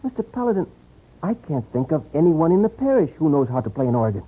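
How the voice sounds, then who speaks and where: Raspy, higher-voiced polite Mexican sidekick, anxious and deferential, slightly stilted, vintage radio western, tinny sound. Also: male voice, big pitch swings, quick pace. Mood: worried. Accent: Mexican Spanish. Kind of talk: old-time radio western acting. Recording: tinny, narrow old radio recording.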